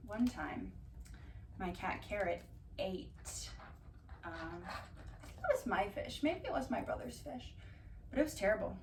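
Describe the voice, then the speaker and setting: A woman doing a casual ASMR-style storytime, reading aloud and drifting into dry, deadpan asides. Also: medium pitch, unhurried, pauses often. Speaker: cat vlogger reading bedtime picture books aloud. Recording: distant mic, roomy background noise.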